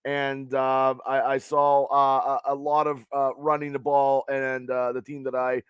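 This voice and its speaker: A man hosting a casual sports podcast, hoarse from losing his voice, talking in a halting way with many fillers and restarts. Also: mid-range voice, monotone, dry recording. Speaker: podcast host.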